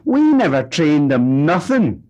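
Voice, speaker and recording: A man voicing a loud, scornful middle-aged wife who screeches back in a very high voice. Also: big pitch swings, measured pace.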